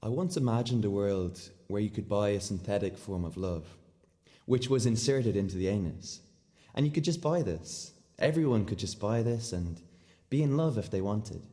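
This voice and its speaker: A male actor as an awkward, muddled man delivering a radio play monologue in a very low voice. He confesses earnestly, haltingly correcting himself, inside a long reverberant space. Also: lively intonation, warm tone, close to an everyday voice. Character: rambling, confused, socially awkward man confessing odd thoughts.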